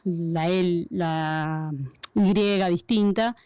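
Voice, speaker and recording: A woman's voice: lowish voice, very slow, warm tone, clean tone, no room sound, clean studio sound. A middle-aged woman with an Argentine accent, a radio announcer chatting and explaining with many examples as an interview guest. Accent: Argentine Spanish. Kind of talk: casual interview chat.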